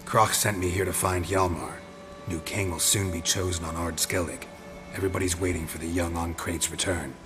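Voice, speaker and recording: A man plays a world-weary fantasy monster hunter in a game. His voice sits very low. He talks in short, dry, deadpan phrases over faint music. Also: moderate pace, lightly acted. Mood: matter-of-fact. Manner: terse, deadpan. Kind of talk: video game voice acting.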